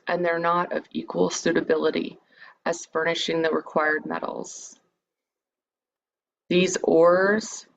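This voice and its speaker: A woman with a deep, gravelly-edged voice guides listeners through a meditation. Her delivery is measured and soothing, gently inviting the listener along. The recording is a little dull, with some background noise. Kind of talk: guided meditation, part improvised, part read lecture.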